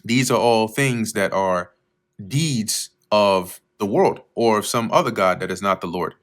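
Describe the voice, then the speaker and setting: A man teaching verse by verse through scripture, reading each verse slowly and then explaining it in a casual way with fillers, often clearing his throat. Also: fairly low pitch. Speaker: Bible teacher.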